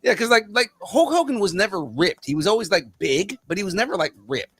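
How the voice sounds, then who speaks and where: A man's voice: high voice, big pitch swings, brisk, fairly bright tone, husky, no echo. A man in his mid-thirties hosting a podcast, chatting casually and repeating words as he starts sentences. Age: 30s. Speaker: podcast host.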